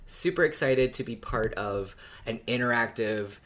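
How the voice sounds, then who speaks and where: A woman with a strikingly deep voice co-hosts a casual fan podcast. She sounds engaged and agreeable, moving between relaying comments and offering her own take. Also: normal speed, fairly bright tone. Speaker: podcast co-host.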